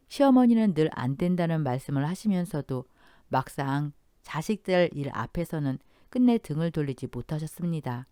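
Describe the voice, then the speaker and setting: Woman narrator for story radio, lowish, lively inflection, dry close mic. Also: no room sound. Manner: measured, storytelling.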